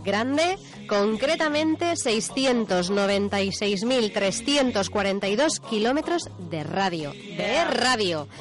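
A woman's voice: mid-range voice, expressive, takes it slowly, over music. A woman with a Spain accent co-hosting a radio show, moving between read script and casual talk. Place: radio studio.

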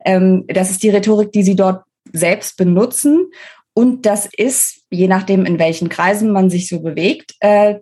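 A woman's voice: mid-range voice, warm tone. A woman, an author explaining things conversationally as an interview guest.

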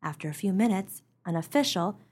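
A woman's voice: fairly low pitch, lively intonation, brisk, a little mellow. An actress playing a desperate, forlorn young mother in a comic radio melodrama, with earnest, melodramatic delivery. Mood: distraught.

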